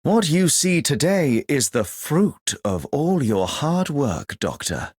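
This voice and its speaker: A man voicing a refined, devoted gentleman companion in a game, with a courtly manner. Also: on the high side, sing-song, slow pace, slightly rough, no room sound, full character voice. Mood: admiring, devoted. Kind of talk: scripted game voice lines.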